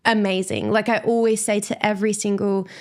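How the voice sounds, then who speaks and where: A woman's voice: mid-range voice, flat delivery, brisk, darkish tone. A woman in her twenties with an Australian accent, chatting as an interview guest in an upbeat, reflective way. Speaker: guest dancer. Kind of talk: casual interview chat.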